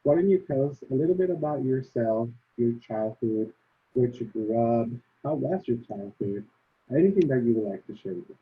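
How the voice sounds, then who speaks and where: Upbeat interviewer on a tinny line. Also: male voice, mid-range voice, lively intonation, moderate pace, a little hiss or hum. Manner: friendly, upbeat, many fillers. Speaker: host, interviewer. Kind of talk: interview over video call. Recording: tinny phone-like call audio.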